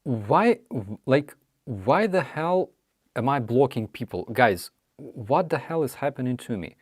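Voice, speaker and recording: Slow-talking Ukrainian man, halting speech. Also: mid-range voice, big pitch swings, darkish tone, breathy edge, no echo, clean studio sound. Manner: halting, apologetic, many restarts. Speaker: livestream host. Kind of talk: casual live chat reading viewer questions.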